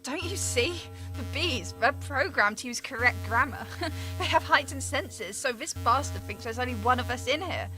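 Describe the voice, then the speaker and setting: Brief: a woman playing a remorseful scientist, urgent and pleading, in a radio play with soft score. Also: high-pitched, animated, normal speed, soft background music, low-quality recording. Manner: anguished, self-blaming, urgent pleading. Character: guilt-ridden female scientist, younger sister. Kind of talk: amateur radio drama acting.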